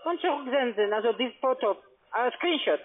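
A woman's voice: fairly high pitch, lively intonation. Woman on a tinny phone. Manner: halting, non-fluent phrasing. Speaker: call centre agent. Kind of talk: phone instructions, halting speech. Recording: narrow tinny phone line.

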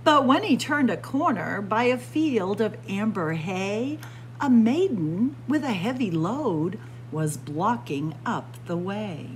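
A woman reading a picture book aloud as a storyteller, in a theatrical, deliberate way. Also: mid-range voice, lively intonation, slight background noise, poor audio. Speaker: library storyteller. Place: indoor library room with bookshelves.

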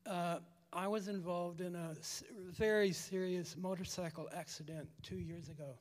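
A raspy-voiced man giving a halting personal testimony to a church congregation, repeating words as he goes. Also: high voice, fairly even intonation. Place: church hall. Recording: long church hall reverb.

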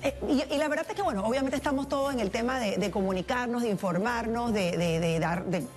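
A woman's voice: a little high, fast talker. Woman, middle-aged, host, chatty with restarts, faint music. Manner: chatty, asks questions with many restarts. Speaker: morning show host. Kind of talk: casual TV talk. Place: TV studio.

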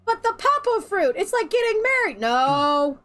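A woman streamer with a light twang, ranting in exasperated gameplay commentary with loud outbursts and laughter. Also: very high-pitched, very animated, moderate pace, soft background music.

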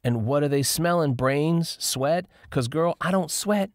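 A man's voice: on the high side, a little slow, dry recording, clean studio sound, voice pushed up, close to an everyday voice. A man voicing a chatty, naive young woman in an audiobook, incredulous and rambling. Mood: curious, chatty.

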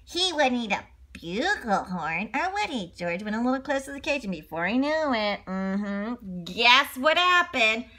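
Animated, high-voiced woman reading a children's story. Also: a little slow, slight background noise. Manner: expressive, exclaims and adds asides to young listeners.

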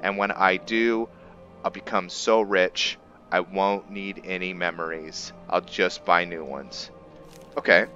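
A man giving roleplay game commentary, musing and pausing often as he talks. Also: low-pitched, bright, forceful tone, muffled recording. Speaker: gameplay video host. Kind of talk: roleplay game commentary with some reading aloud.

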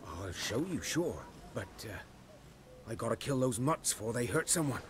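An actor playing a wary, melancholy village hunter in a video game, speaking in a measured, hesitant, rustic way. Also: male voice, medium pitch, big pitch swings, a little hiss or hum. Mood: wary, polite. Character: wary, soft-spoken male village hunter, melancholy loner.

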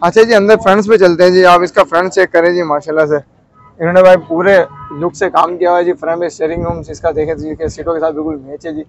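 A man's voice: sits high, quick pace, vocal fry, slight background noise, poor audio. A man with a Pakistani Urdu accent interviews a seller at an outdoor car market, politely repeating each answer back to the camera. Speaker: vlogger touring a car market. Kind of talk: walkaround car review interview.

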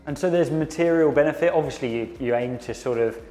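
Guy asking questions on a walkaround tour, chatty, music quietly behind. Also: a little high, fast. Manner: inquisitive, conversational. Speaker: interviewer and commentator. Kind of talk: factory tour interview.

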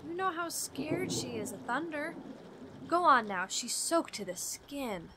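An actress playing an unsuspecting, caring wife in a radio horror drama, with a folksy, fussing, bossy manner. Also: female voice, high voice, big pitch swings, a little slow. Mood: fussing concern. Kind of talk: old-radio drama acting. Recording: noisy background ambience.

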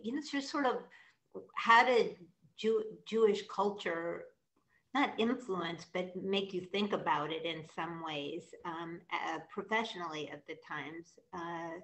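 A woman in her sixties from the Midwest, interviewing a guest over a video call, halting with many ums and restarts. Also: on the low side, a bit bright, low-quality recording. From American Midwest. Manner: hesitant, many restarts and ums, asks long questions. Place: room with brick wall behind.

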